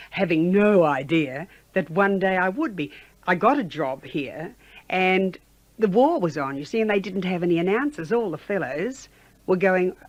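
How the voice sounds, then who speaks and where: An Australian woman in her sixties reminiscing in a chatty, halting conversational way, as a former radio announcer being interviewed. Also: lowish voice, no echo, lo-fi sound. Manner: chatty, halting, with frequent "you know".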